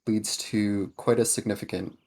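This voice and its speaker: A man casually narrating a tabletop roleplaying game, pausing to find his words. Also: lowish voice, steady tone, a little mellow, slight background noise. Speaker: tabletop RPG player.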